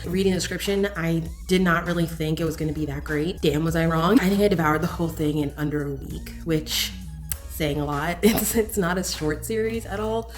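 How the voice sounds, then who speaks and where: A woman chatting casually to viewers as a book reviewer, gushing and candid with emphatic opinions. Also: on the low side.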